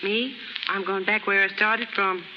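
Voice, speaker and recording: A woman's voice: mid-range voice, steady tone, a little slow, lightly acted. A brash, lovelorn young American chorus girl played by a woman in a 1930s radio play. She talks in slangy, unschooled phrases. The old broadcast is hissy and muffled, with long reverb. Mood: guarded, hurt. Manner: slangy, ungrammatical.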